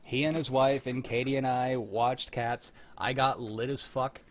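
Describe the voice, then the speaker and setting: A man's voice: mid-range voice, little pitch movement, unhurried, heavy background noise. A man hosting a live stream of old radio script readings, chatting casually and joking, swearing now and then. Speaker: host of an old-time radio table-read stream. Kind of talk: casual chat.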